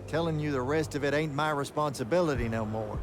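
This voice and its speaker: An actor playing a world-weary battlemage mentor in a fantasy video game, talking in a wry, folksy, colloquial way. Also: male voice, fairly high pitch, fast talker, lightly acted. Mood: bitter, weary. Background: music.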